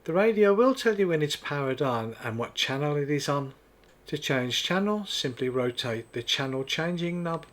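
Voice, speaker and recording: A man's voice: fairly high pitch, expressive, normal speed. A man narrating a scripted product demonstration in a methodical, step-by-step explaining manner. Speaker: product reviewer.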